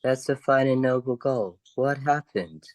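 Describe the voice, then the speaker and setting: Guy playing loyal wry hero sidekick, medium pitch, dry close recording, radio play. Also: unhurried, dry recording, close to an everyday voice.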